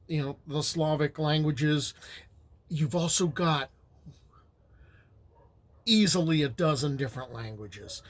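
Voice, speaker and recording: Slow, rambling older man. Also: fairly high pitch. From United States. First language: Ukrainian. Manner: rambling and conversational, with asides. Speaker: history storyteller. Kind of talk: casual spoken history talk.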